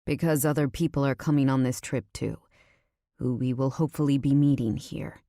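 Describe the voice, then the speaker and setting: Casting: female reader as commanding, protective male romance lead. Low, soft-edged, serious, clipped manner, audiobook delivery. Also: steady tone, moderate pace, gravelly, no echo, studio quality.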